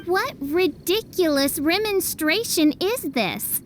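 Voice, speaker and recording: A theatrical teenage princess of darkness in an anime game. Her high voice is pompous and haughty, declaiming in grand, archaic royal phrases. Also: animated, takes it slowly, a little hiss or hum, heavily acted. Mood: indignant. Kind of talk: anime video game voice acting.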